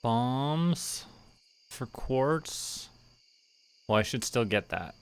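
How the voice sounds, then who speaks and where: A man doing relaxed, casual game commentary, thinking aloud and muttering to himself in an unhurried way. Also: medium pitch, slight background noise. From Canada. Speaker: game streamer.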